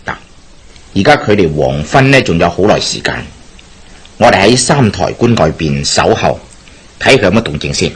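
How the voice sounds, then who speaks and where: Deep, husky, animated Cantonese schemer. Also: male voice, normal speed, long pauses, heavily acted. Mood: plotting, determined. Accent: Hong Kong Cantonese. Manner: persuasive, explaining. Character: scheming, confident male plotter bent on justice. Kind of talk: Cantonese radio drama acting.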